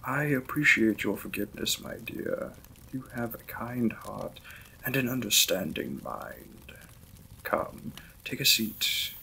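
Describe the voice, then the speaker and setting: A man playing a gentle, apologetic Victorian vampire lord in a romantic audio roleplay, speaking formally and tenderly as a courteous new husband. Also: medium pitch, lively intonation, slow, gravelly, slight background noise, exaggerated voice, rough recording. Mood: courteous, warm.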